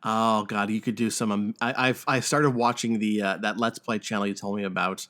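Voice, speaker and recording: A man's voice: on the low side, steady tone, moderate pace, a bit bright. A man hosting a podcast, chatting casually about games and film and swearing freely. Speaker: podcast host.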